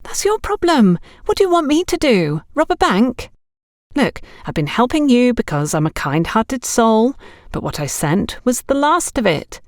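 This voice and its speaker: A woman's voice: a little high, sing-song, moderate pace, no echo, studio quality, pitched above the performer's own voice. A woman voicing an exasperated young saleswoman in an audiobook, complaining with dramatic indignation about a scrounging boyfriend. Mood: exasperated, indignant. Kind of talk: audiobook character dialogue.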